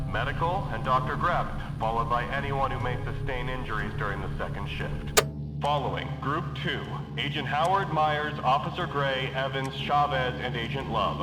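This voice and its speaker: Male actor as orderly site official, audio drama announcement, medium pitch, heavy echo, music underneath. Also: natural voice. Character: site staff man reading evacuation orders over speakers. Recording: echoing public-address sound from far off, music.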